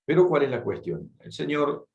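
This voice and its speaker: An Argentine man with an Argentine Spanish accent giving his testimony as a missionary, relaxed, with joking asides. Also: medium pitch, moderate pace, gravelly, echoey room, dull audio. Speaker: missionary speaker. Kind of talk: testimony talk.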